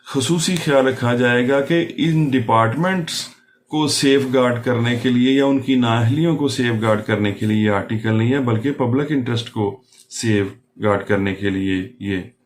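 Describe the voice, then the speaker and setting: Male law lecturer, Pakistani accent. Slow, deliberate delivery, mid-range pitch, explanatory, with English terms mixed in. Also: a little hiss or hum. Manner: deliberate, reading quoted clauses word by word.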